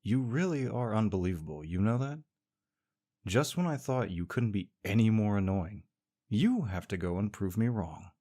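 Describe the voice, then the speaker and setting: Smug, teasing man with low voice. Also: animated, moderate pace, mellow. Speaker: voice actor playing a smug rival co-worker. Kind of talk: scripted audio roleplay.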